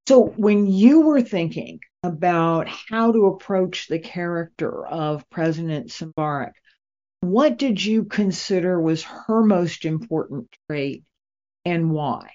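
A woman interviewing a guest in a deliberate way, pausing between phrases. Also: fairly low pitch, expressive, warm tone. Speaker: interviewer, radio drama maker. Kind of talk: unhurried interview talk. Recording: dull, lo-fi sound.

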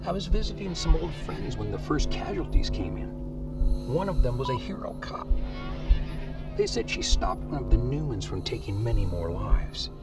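A man's voice: mid-range voice, animated, normal speed, recorded from a distance, over loud music, close to an everyday voice. A man acting a solemn, dying older surgeon-inventor in an audio drama, his speech laboured and breaking into whispers. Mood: grave, confiding.

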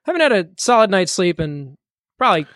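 Man, podcast co-host, fairly high voice, dry close sound, loose rambling chat. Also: fairly quick, no echo. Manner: relaxed, rambling with many fillers. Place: home studio during a live stream.

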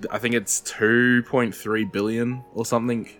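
A man's voice: medium pitch, flat delivery, measured pace, a bit bright, faint music behind. A man hosting a podcast in casual chat, congested from a head cold, laid-back and joking with casual swearing. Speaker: podcast host.

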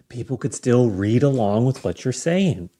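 A man's voice: medium pitch, animated, a little slow, warm tone, breathy edge. A male podcast co-host in casual chat, giving short reactions and then laying out an idea with some animation.

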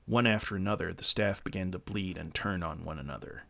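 A man's voice: low voice, a little fast, vocal fry, pushed and bright. A man reading scripted horror fiction as a podcast narrator, with music behind him.

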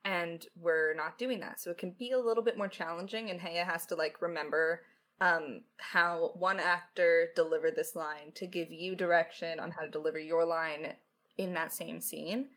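A woman's voice: on the low side, fairly even intonation, normal speed, fairly bright tone. A woman co-hosting a casual question-and-answer chat as a writer, with a wry, conversational way of talking. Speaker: lead writer and podcast co-host.

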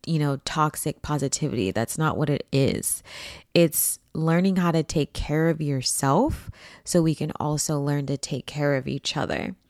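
A woman speaks in a low register with a hoarse, froggy edge. Her pace is slow and unhurried as she hosts a casual motivational podcast. She talks like a coach, informally, leaning on key phrases for emphasis. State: hoarse from allergies. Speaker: podcast host and empowerment coach.

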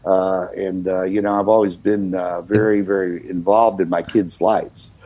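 Husky, low-voiced older man reminiscing. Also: little pitch movement, normal speed, warm tone, muffled recording. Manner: reflective, leans on "you know" fillers. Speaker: guest, singer-songwriter. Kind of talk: relaxed conversational storytelling.

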